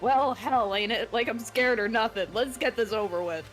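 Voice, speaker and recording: A woman's voice: a little high, moderate pace, fairly bright tone, faint music behind, close to an everyday voice, low-quality recording. Woman reading a swaggering young male delinquent. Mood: gruff bravado. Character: tough, foul-mouthed young male delinquent. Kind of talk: reading visual novel dialogue aloud.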